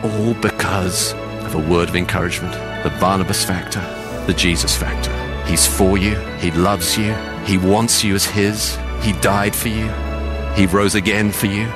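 A man's voice: low-pitched, steady tone, moderate pace, loud music behind the voice. A man preaching a sermon in an earnest, exhorting way.

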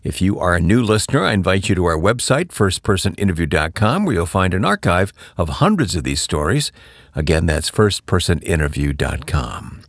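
A man from Michigan hosting a radio interview program, friendly and quick to laugh along with his guest. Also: sits low, sing-song, no room sound, clean studio sound. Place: recorded separately from guest over online call.

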